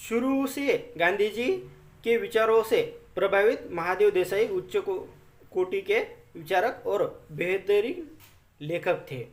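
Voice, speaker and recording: A presenter reads notes aloud as an informal explainer, repeating and rephrasing points and often addressing listeners as friends. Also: mid-range voice. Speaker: educational video presenter.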